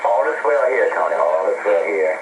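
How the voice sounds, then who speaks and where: A man's voice: low-pitched, animated, a little fast. A man chatting casually over two-way amateur radio, telling things in a folksy, rambling way with repeated phrases and radio sign-offs. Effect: two-way radio transmission. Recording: noisy shortwave radio transmission with static.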